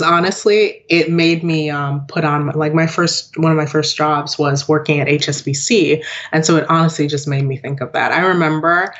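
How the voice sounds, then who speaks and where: A woman's voice: low-pitched, fairly bright tone, lo-fi sound. A woman in her thirties, born in Jamaica and raised in western New York, chatting as a guest about her work, laughing often and acting out what others say. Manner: chatty, laughs often, acts out quoted voices. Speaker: guest, digital product owner. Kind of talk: casual interview chat.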